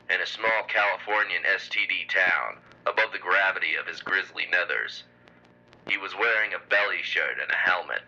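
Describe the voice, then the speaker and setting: A man playing a grave, pulpy cassette audiobook narrator with a husky voice and mock-serious scripted delivery. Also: low voice, bright, forceful tone, full character voice. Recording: dull lo-fi cassette sound.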